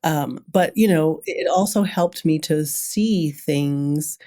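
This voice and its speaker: A woman's voice: sits low, takes it slowly. A woman speaking candidly and casually as a podcast guest, telling stories and acting out what people say. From grew up in London, then Brooklyn. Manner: candid, animated storytelling, acts out quoted remarks, often chuckles. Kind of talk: casual interview conversation.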